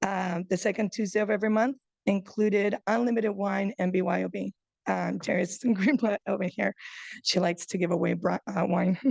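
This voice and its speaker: Nervous woman in her forties, halting speech. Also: medium pitch, gravelly, a little mellow, dry recording. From Chile. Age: 40s. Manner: hesitant, many ums and uhs, reading from notes. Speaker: gallery owner giving a talk. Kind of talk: nervous public speech read from a phone. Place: breakfast meeting room.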